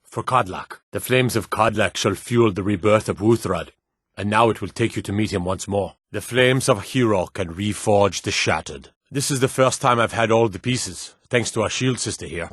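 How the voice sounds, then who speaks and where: An actor playing a gruff, proud old blacksmith in a fantasy video game, with a husky, gravelly voice and a measured, plain-spoken delivery. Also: male voice, lowish voice, fairly bright tone, dry recording, studio quality. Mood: solemn. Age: older. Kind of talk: fantasy game character dialogue.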